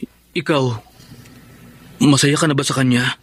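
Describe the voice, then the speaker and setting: A man's voice: mid-range voice, fairly even intonation, unhurried, slightly rough. An actor playing a heartbroken, earnest young man in a radio drama, speaking with emotional yearning. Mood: heartsick. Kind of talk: Tagalog radio drama acting.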